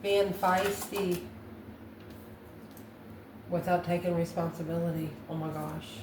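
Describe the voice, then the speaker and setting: A woman giving an intuitive tarot reading to viewers, musing aloud in short, halting phrases. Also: lowish voice, steady tone. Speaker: tarot reader, host. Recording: roomy echo, distant mic, background noise.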